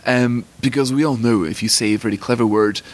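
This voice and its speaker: A man with a posh Scottish accent, a magazine editor giving measured, articulate interview answers. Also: mid-range voice, fairly even intonation. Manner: articulate, fluent, considered.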